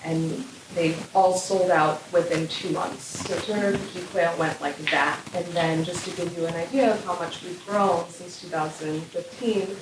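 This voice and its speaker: A woman's voice: on the low side, steady tone, moderate pace, few pauses, background noise. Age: adult. Sex: woman. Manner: chatty farmer. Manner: chatty, upbeat, many fillers. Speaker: farmer on a panel. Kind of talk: casual panel talk. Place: event room. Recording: roomy, distant mic.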